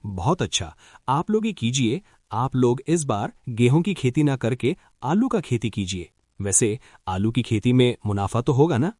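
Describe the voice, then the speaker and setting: A man's voice: mid-range voice, sing-song, moderate pace, a little mellow, no echo, clean studio sound. A man voicing a clever young son from a village in a cartoon story, who talks in a confident, reassuring way.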